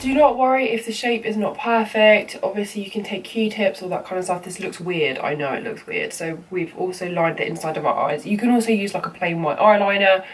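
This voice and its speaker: A woman's voice: mid-range voice, fairly quick, hardly any pauses. A young woman with a cold, sounding bunged up as she chats her way through a makeup tutorial with plenty of ums and you-knows. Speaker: makeup vlogger. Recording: slightly distant mic with faint room noise.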